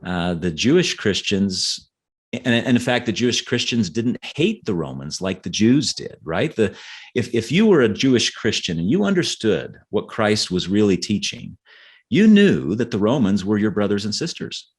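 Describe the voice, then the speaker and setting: Very low-voiced man, halting explainer. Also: very animated, husky. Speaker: author interviewed as a podcast guest. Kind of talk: conversational interview, explaining history and his novels.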